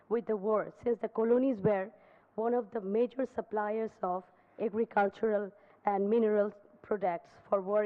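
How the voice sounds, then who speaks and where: A hoarse woman who has nearly lost her voice, formally presenting an academic paper at a conference with careful, hesitant phrasing. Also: medium pitch. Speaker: conference speaker. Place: university lecture hall. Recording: phone-like narrow sound.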